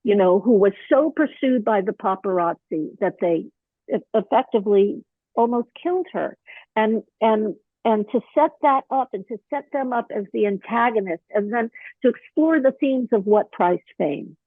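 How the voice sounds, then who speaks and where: Slightly hoarse woman guest, chatty storyteller, mid pitch, dry thin phone-line audio. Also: normal speed, no room sound. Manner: chatty, animated storytelling with many fillers. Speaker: podcast guest, author and actor. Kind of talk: casual interview chat.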